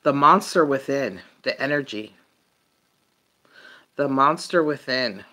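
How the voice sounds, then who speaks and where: A woman doing a casual, improvised tarot reading for viewers, thinking aloud in a halting way and trailing off mid-phrase. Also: rumbling low, lively intonation, sharp tone. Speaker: tarot reader.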